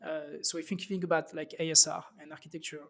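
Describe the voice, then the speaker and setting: A man with a French accent and a slightly raised pitch speaks as a podcast guest. He talks loosely, full of fillers and restarts as he explains his thinking. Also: little pitch movement, fast talker, vocal fry, a bit bright. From France. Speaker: startup founder guest. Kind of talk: casual interview talk.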